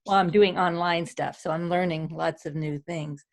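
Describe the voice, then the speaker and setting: A woman's voice: on the low side, fairly even intonation, normal speed. A woman who teaches at a university, talking in a chatty way on a group video call. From central Pennsylvania.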